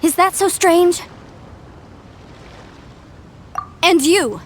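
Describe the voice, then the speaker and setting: A woman's voice: extremely high pitch, animated, unhurried, a little hiss or hum. A woman playing an earnest, opinionated young archaeologist in a video game, prim and argumentative. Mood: indignant. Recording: music behind the voice.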